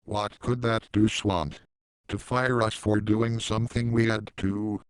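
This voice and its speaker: Guy doing a bossy sardonic base commander, lowish smooth voice, dry sound. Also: fairly even intonation, measured pace, no echo, natural voice, poor audio. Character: brusque male facility commander, sardonic, in charge. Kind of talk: amateur audio drama acting.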